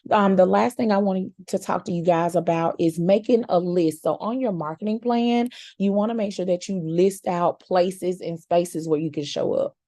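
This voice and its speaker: Raspy woman, chatty coach, low-ish, mellow, dry close sound. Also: normal speed, few pauses, no room sound. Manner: chatty, encouraging, repeats words for emphasis; informal slang. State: slightly hoarse. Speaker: content coach. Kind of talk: casual coaching talk.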